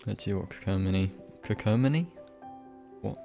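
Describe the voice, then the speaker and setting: A man's voice: lowish voice, normal speed, vocal fry, mellow, soft background music. A man giving casual live game commentary as a streamer, offhand and sardonic, swearing now and then.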